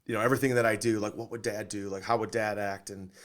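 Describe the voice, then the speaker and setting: A man's voice: on the low side, flat delivery, quick pace. A man hosting a podcast in an upbeat interview style, enthusiastic and affirming as he talks. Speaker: podcast host.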